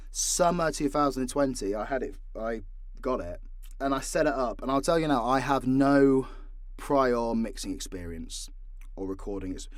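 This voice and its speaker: Animated British man, sweary and candid. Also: medium pitch, dry recording, studio quality. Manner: sweary, self-deprecating, animated storytelling. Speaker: audio creator and voice actor. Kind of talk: casual candid Q&A chat.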